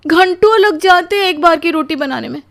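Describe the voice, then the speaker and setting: A woman's voice: extremely high pitch, lively intonation, normal speed, gravelly, fairly bright tone, voice pushed up, heavily acted. A woman playing an exhausted, aggrieved young newlywed bride in a melodramatic cartoon story, plaintive and complaining. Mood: weary, plaintive.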